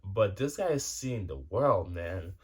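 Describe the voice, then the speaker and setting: Young dude vlogging, kinda low voice, swears a ton, keeps restarting his sentences. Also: lively intonation, slow, a little mellow, slightly rough. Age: 20s. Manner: loose, profane, lots of restarts and fillers. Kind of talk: casual rambling monologue to camera.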